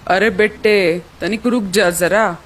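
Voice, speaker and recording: A woman's voice: medium pitch, steady tone, slow. A woman voicing a bullying male hunter hawk who threatens in rustic dialect, in a children's cartoon story. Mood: menacing.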